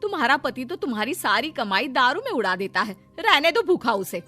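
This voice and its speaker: A woman voicing a rich, haughty sister-in-law who scolds and berates in an animated moral story. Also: high voice, fairly quick, soft background music, full character voice. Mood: scornful, impatient.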